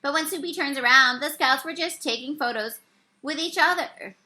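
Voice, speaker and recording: A woman reading a children's story aloud, with expressive and carefully phrased narration. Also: high-pitched, normal speed. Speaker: storybook reader.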